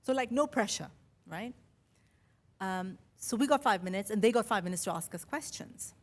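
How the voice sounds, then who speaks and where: A middle-aged woman with an Indian accent, a mix of Mumbai, Kerala and London, telling a personal story in a chatty, animated way as a podcast host. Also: medium pitch, moderate pace. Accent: Indian English, Mumbai and Kerala with London influence. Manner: chatty, animated, quotes her own thoughts.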